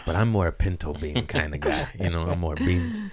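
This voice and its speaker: A man hosting a comedy podcast, chatting casually and riffing in slang with deadpan, absurd humor. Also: sits low, a little fast. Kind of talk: casual joking podcast chat.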